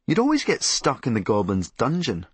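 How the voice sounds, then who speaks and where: A man's voice: mid-range voice, wildly swooping pitch, moderate pace, husky, no echo. A Scottish man narrating a comic memoir in a sardonic, darkly comic and blunt manner. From Scotland. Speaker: comedian narrating a memoir audiobook.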